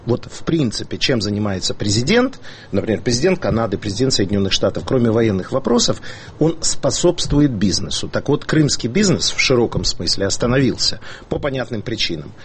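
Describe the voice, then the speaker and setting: A man's voice: mid-range voice, very animated, a little fast, a little hiss or hum. A man giving political commentary on a radio talk show, ironic and emphatic, with frequent hesitations. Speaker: studio guest commentator. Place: radio studio.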